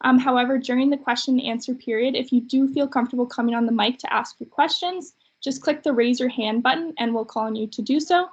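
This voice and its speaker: Higher-voiced young Canadian woman, dull audio. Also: quick pace, few pauses, slightly rough. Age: young adult. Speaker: student host opening an online session. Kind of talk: introductory hosting of a webinar. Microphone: video call. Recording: dull video call audio.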